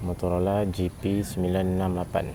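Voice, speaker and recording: A man's voice: deep voice, completely flat delivery, a little fast, noisy background. A Malaysian man giving a casual, informal product review, often repeating himself and tagging phrases with "eh". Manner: informal, repeats himself, frequent "eh" tags and throat clearing. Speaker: gadget reviewer.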